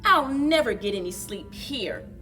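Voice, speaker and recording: A woman voicing a grumpy, restless bird from a children's storybook, in an expressive, complaining manner. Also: fairly high pitch, measured pace, soft background music. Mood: fed up. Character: grumpy, restless bird hunting for a new nest.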